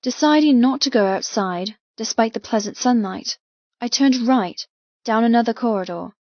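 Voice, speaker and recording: A woman's voice: a little high, moderate pace, no room sound. Woman narrating fiction, dry muffled sound. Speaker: audiobook narrator. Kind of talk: scripted first-person fiction narration.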